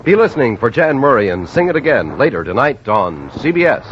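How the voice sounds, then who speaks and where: A man's voice: medium pitch, very animated, a little fast, very noisy. A man announcing for a 1950s radio network in a polished, promotional manner. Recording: dull lo-fi old radio broadcast.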